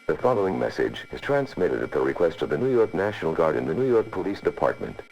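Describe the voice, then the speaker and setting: A man's voice: extremely low pitch, a little mellow, a little hiss or hum, heavily acted, rough recording. A man playing a grave, authoritative announcer reading a formal scripted emergency alert.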